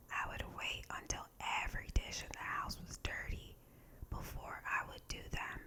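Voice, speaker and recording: A woman whispers her way through a guided meditation reading in ASMR style. Her voice is breathy and fully unvoiced. She phrases softly and deliberately, at a very unhurried pace. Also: rough recording. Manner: soft, slow, deliberate phrasing. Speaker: ASMR host reading aloud from a meditation book.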